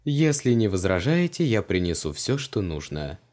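A man voicing an imperturbable house-spirit butler in an audiobook, formal and deadpan. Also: fairly low pitch, moderate pace. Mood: unruffled. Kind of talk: audiobook character voice.

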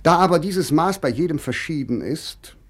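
A witty young man of letters, declaims in a husky voice for a radio feature. His pitch swings widely, and he phrases every clause with rhetorical emphasis. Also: lively intonation, normal speed. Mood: earnest, emphatic. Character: Lessing, sharp-witted mocking young male writer and critic. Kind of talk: dramatic reading of letters and essays in a German radio feature.

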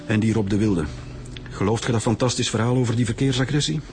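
An actor playing a bossy, sceptical police director in a radio drama, curt and sarcastic, with a Flemish accent. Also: male voice, mid-range voice, quick pace, a little hiss or hum, natural voice.

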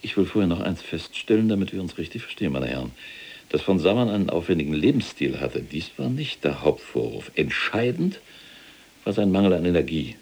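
An actor playing a proud, self-justifying imprisoned SS general in a German radio drama, gravelly and husky, with a clipped, emphatic military delivery. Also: male voice, sits low, sing-song, fairly quick, darkish tone, heavily acted. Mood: insistent, condescending.

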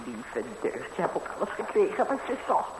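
An actress playing a doting, scolding old housekeeper, fussing, sighing and coaxing in a 1960s radio play. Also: female voice, on the low side, big pitch swings, moderate pace. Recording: noisy vintage 1960s radio recording.

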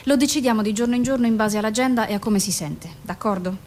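An actress playing a composed, guarded psychiatrist in a radio drama, speaking in a measured, formal and detached way. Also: female voice, darkish tone, lightly acted. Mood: courteous, professional. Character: composed, guarded woman psychiatrist, patient and professional. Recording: noisy radio recording with low rumble.

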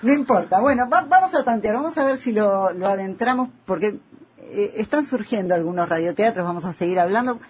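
A man's voice: high-pitched, animated, fairly quick, a little hiss or hum. A man with a Rioplatense Argentine accent hosting a casual radio interview, chatty, repeating phrases and tagging on questions. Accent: Rioplatense Argentine Spanish. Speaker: radio host. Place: radio studio.

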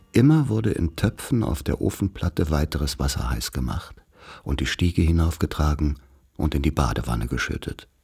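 A man's voice: a bass voice, gravelly, darkish tone, slightly rough. A man narrating literary, scripted childhood memories for a radio play.

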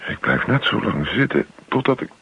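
An actor playing a grumpy, self-pitying husband in a radio play, muttering to himself in a hesitant, put-upon way. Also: male voice, on the low side, sing-song, normal speed, creaky, a little hiss or hum. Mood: grumbling, straining. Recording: dull lo-fi radio play recording.

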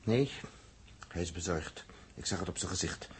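A man plays an uneasy, watchful Dutch crewman in a vintage radio serial. His low voice is quiet and foreboding, over faint recording noise. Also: moderate pace, lightly acted. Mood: uneasy, hushed. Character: subdued male spaceship crewman, observant, uneasy. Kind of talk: 1960s Dutch radio drama acting.

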